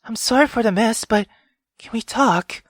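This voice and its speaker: Man as an anxious, high-pitched young woman. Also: moderate pace, fairly bright tone, no room sound, studio quality. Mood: pleading. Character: anxious, apologetic young woman pleading with her friend.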